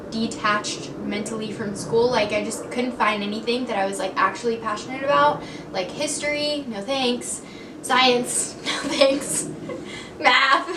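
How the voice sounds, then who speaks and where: A woman's voice: a little high, reverberant, far from the mic, noisy background. A young woman in her twenties from Texas, chatting casually to the camera with lots of "like" and "um", laughing often. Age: 20s. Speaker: vlogger. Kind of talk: casual get-to-know-me chat.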